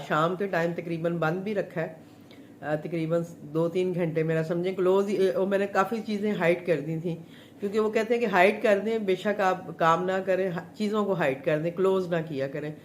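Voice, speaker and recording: A woman's voice: fairly low pitch, slight background noise. Chatty middle-aged woman, sore throat. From Pakistan. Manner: chatty, rambling, many fillers. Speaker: home chef. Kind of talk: casual live chat.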